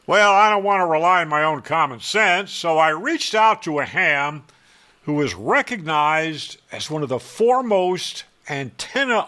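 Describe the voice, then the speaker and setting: Wry man with a bright, higher-pitched voice. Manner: wry, opinionated, emphatic. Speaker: ham radio hobbyist presenting a how-to. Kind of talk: how-to narration with sarcastic asides.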